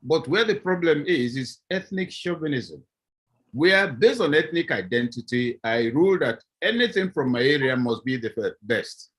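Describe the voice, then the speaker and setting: A middle-aged man from southeast Nigeria with a Nigerian accent, a professor speaking on a video-call panel, animated and acting out little scenes as he argues. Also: on the high side, husky.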